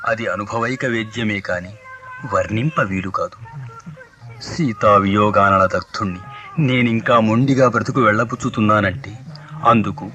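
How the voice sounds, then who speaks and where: A man's voice: fairly low pitch, moderate pace, pushed and bright, muffled recording, soft background music. An actor playing a noble, compassionate, sorrowful god-king in a 1980s radio mythological play, stately and earnest in delivery. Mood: solemn consoling.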